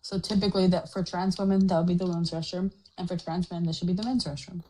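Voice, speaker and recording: A woman's voice: on the low side, steady tone, fast, dark tone, slight background noise, low-quality recording. A woman on a debate panel, firing challenging questions.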